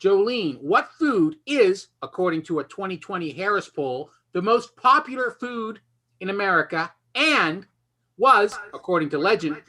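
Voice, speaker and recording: Bright, high-voiced man hosting a game show. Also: lively intonation, moderate pace. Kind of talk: lively quiz show hosting, partly improvised.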